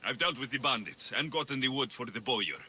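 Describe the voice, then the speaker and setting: An actor playing a tough, weary young mercenary in ancient Greece, dry, terse and exasperated, in a video game cutscene. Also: male voice, mid-range voice, animated, quick pace, pushed and bright, slight background noise. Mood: dry impatience. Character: gruff, sardonic young Greek mercenary, weary but tough.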